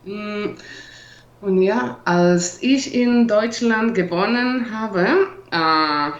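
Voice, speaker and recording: Slow-paced woman speaking halting non-native German. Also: medium pitch. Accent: non-native, foreign-accented German. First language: not German; learned it as a foreign language. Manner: halting, searching for words. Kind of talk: casual language-practice chat. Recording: echoey room, muffled audio.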